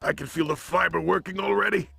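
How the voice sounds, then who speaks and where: An actor playing a strained, grumbling but polite fellow in a cartoon game. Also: male voice, high voice, fast, creaky, loud music behind the voice. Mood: strained, indignant.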